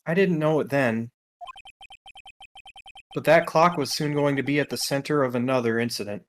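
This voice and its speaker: A man's voice: medium pitch, moderate pace, natural voice. Man playing reflective rookie lawyer, music behind. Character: reflective young rookie lawyer narrating his story. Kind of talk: scripted game narration.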